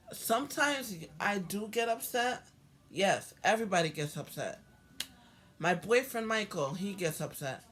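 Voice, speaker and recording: A hoarse woman vlogging about her own life in a halting, earnest way, repeating herself and restarting often. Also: lowish voice. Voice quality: halting, repetitive, with many restarts. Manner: earnest, heartfelt. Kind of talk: personal talk to camera.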